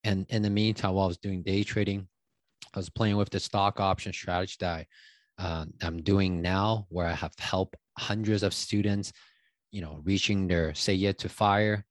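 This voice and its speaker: A man, a guest telling his story in a casual interview, loose and halting with many fillers. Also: low-pitched, fairly even intonation, dry recording. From Taiwan. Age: 30s. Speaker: guest, stock options coach.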